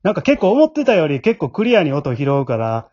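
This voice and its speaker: A man's voice: a little high, wildly swooping pitch, brisk, a little mellow, no room sound. Polite man hosting, muffled sound. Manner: polite, explanatory. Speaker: host and scriptwriter of an amateur drama group. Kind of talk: casual hosting chat. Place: at home, remote recording.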